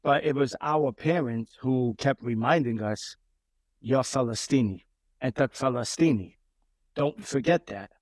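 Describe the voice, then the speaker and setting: A man's voice: medium pitch, a little slow, a little mellow, no echo. A man, a guest in a casual interview, telling his story with many repeats and restarts. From Brooklyn, New York. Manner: repeats words and restarts often.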